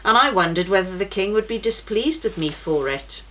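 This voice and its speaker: A woman's voice: medium pitch, lively intonation, normal speed, a bit bright, natural voice, rough recording. A woman voicing a timid young boy pilgrim in a read-aloud storybook, sounding worried.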